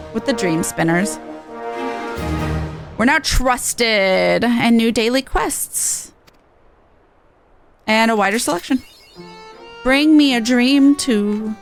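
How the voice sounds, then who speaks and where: A woman game streamer giving casual, playful, chatty commentary on her playthrough. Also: a little high. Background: game music.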